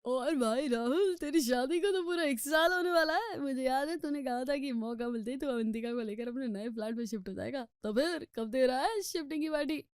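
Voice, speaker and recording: A woman's voice: high voice, brisk, hardly any pauses, clean tone, no room sound, clean studio sound, pitched above the performer's own voice, natural voice. A woman voicing a teasing, nosy young man, the husband's friend in a family story.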